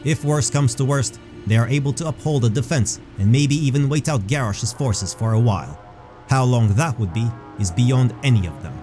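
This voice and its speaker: A man reads scripted fantasy narration. His voice is mid-pitched, dark and mellow, and he describes things in a measured storyteller's way. Faint music plays behind him.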